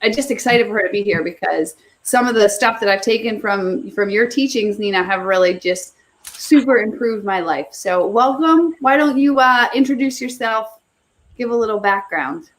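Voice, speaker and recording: A woman in her thirties hosts a live video chat in a bubbly, rambling way, laughing often. Her voice sits a little high. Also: moderate pace. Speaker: host.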